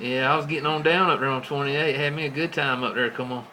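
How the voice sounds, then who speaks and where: A man's voice: mid-range voice, fairly even intonation, quick pace. An American man chatting casually in folksy, colloquial CB radio slang. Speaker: CB radio operator. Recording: clearly noisy CB radio setup.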